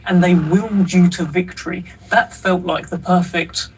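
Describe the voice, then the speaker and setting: A man's voice: high-pitched, steady tone, moderate pace. A man interviewing boxers, asking probing, direct questions. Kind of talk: sports interview. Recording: distant mic with faint noise.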